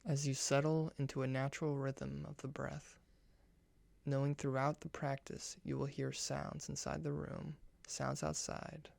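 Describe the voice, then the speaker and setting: A soft, hushed man guiding a meditation in ASMR style, speaking gently in measured short phrases. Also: mid-range voice, level tone, gravelly, no echo.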